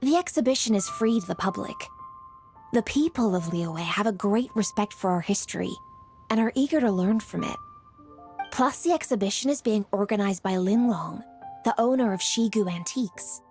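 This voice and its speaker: A woman's voice: mid-range voice, normal speed, a little mellow, faint music behind, lightly acted. A woman playing a poised, dutiful young female official in a video game, speaking formally and articulately. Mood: composed, informative. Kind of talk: scripted video game dialogue.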